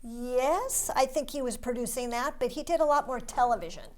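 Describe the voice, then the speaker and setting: A woman's voice: on the high side, moderate pace, a little mellow. A woman, a play director, chatting casually as an interview guest.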